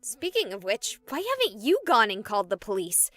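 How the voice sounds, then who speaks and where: A woman's voice: mid-range voice, wildly swooping pitch, normal speed, a bit bright, dry recording, soft background music. An actress voicing a skeptical, exasperated young female game streamer in a visual novel. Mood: frustrated.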